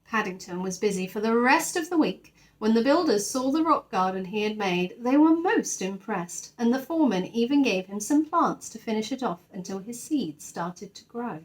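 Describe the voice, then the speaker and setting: High-voiced woman reading a storybook. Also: animated, moderate pace, hardly any pauses, rough recording. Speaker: teacher reading a storybook to children. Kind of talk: expressive children's story narration with asides.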